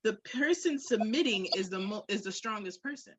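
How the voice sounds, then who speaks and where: A woman's voice: mid-range voice, animated, a little fast, slightly rough, no echo. A woman co-hosting a candid podcast conversation, speaking in a reflective, emphatic and informal way. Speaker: podcast co-host.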